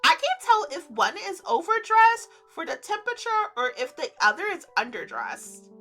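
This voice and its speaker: A woman reading a visual novel aloud as a gaming creator, expressive and giggly, with gushing casual asides. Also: extremely high pitch, normal speed, soft background music. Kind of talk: playful let's play read-aloud with casual reactions.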